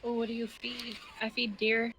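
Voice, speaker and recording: Woman streamer, casual rambling chat, bright voice, slightly stuffy, faint background noise. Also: a little high, monotone, moderate pace, rough recording. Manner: chatty and easygoing, lots of "like" fillers. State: stuffy from allergies. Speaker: roleplay streamer. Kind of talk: casual in-character chat during game roleplay. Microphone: headset or desk mic.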